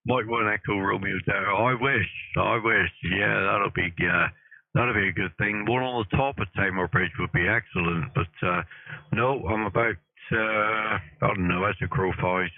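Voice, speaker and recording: A man's voice: fairly low pitch, steady tone, moderate pace, vocal fry, no room sound. A man from Plymouth, England, operating a ham radio station in a friendly, chatty way. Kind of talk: casual ham radio chat. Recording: phone-like narrow band.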